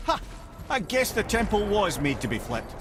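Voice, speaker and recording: A man's voice: sits high, big pitch swings, moderate pace, background noise. An actor with a Scottish accent playing a witty, learned, talkative advisor in a video game, wry and eloquent in his delivery. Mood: teasing, amused. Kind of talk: video game cinematic acting.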